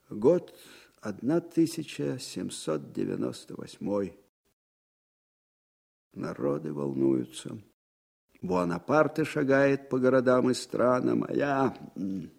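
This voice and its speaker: An actor playing an old, disgraced field marshal, proud and wistful, in a folksy, musing manner for a radio drama. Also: male voice, mid-range voice, vocal fry, darkish tone, slightly rough, full character voice. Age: older. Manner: folksy, musing, swinging from murmurs to ringing declamation. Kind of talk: Soviet radio drama acting.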